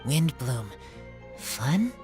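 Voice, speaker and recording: A woman voicing an earnest wild young boy in a video game, speaking in terse, simple, halting phrases. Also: extremely low pitch, very animated, dark tone, faint music behind, full character voice. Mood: blunt, curious. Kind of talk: video game cutscene acting.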